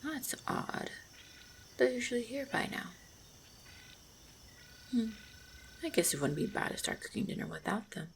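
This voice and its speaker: A woman playing a gentle, devoted orc hunter in a roleplay audio drama, speaking tenderly and reassuringly with a husky, creaky voice. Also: on the high side, very animated, unhurried, pauses often, a little hiss or hum, full character voice. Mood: musing. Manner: tender, reassuring, softly spoken. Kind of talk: roleplay audio drama, intimate monologue to the listener.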